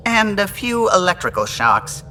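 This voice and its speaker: A man's voice: sits high, very animated, a little slow, pushed and bright, soft background music, exaggerated voice. A man playing a proud, fussy starship engineer in a video game, precise and keen on technical detail. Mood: enthusiastic, fussy. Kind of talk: video game dialogue acting.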